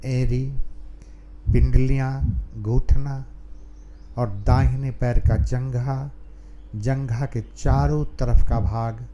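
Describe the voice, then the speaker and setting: A man leading a guided meditation calmly, pausing between short phrases. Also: medium pitch, dull audio, a little hiss or hum. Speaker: meditation teacher.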